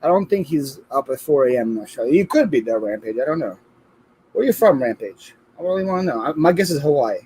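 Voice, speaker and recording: A man's voice: a little high, lively intonation, quick pace, a little mellow, a little hiss or hum, poor audio. A man co-hosting a livestream, joking around, reading the chat aloud and stammering on restarts. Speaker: co-host of a livestream.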